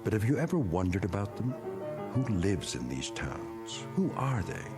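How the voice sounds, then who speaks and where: An actor playing a solemn, ominous narrator of an eerie radio anthology, speaking in a measured, portentous way. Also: male voice, on the low side, very animated, music in the background. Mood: intrigued, ominous. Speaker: series narrator. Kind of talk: dramatic scripted radio narration.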